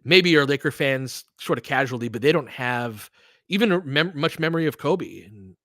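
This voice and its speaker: A man's voice: mid-range voice, expressive, moderate pace, fairly bright tone, no echo. A man hosting a casual sports stream, talking conversationally and thinking aloud, often trailing off mid-thought, on a close microphone. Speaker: host of an audio play-by-play fan stream. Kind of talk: casual sports chat, answering listeners during play.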